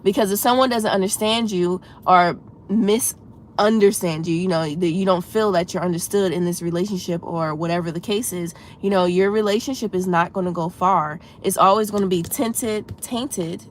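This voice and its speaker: A woman's voice: on the low side, fairly even intonation, moderate pace, slight background noise. A woman hosting a casual livestream, a bit congested and froggy from a head cold, talking earnestly and encouragingly to her chat. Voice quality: slightly froggy voice. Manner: earnest, encouraging, talks straight to chat with many "you know"s. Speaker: livestream host.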